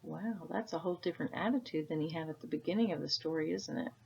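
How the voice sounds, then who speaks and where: A woman reading a children's story aloud like a teacher, adding casual comments and gentle questions for the listener. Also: low-pitched, fast, gravelly, slight background noise. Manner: asks gentle tag questions. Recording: dull, lo-fi sound.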